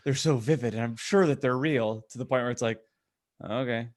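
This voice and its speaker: A man in a casual podcast chat, halting as he thinks aloud with lots of filler words. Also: mid-range voice.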